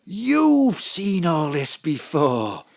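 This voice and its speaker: A man playing a gullible, anxious husband and sidekick in an audio drama, excitable and confused. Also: fairly high pitch, very slow, creaky, exaggerated voice.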